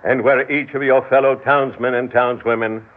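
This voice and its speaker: An American actor playing a solemn, duty-bound town judge and father, speaking formally and gravely in a 1960s radio drama. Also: mid-range voice, fairly even intonation, moderate pace, natural voice. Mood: solemn sentencing. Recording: narrow, noisy vintage radio recording.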